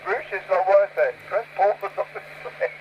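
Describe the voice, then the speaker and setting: A man's voice: high voice, normal speed, muffled recording. A man joking casually as he chats over CB radio. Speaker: CB radio operator. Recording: very noisy CB radio signal.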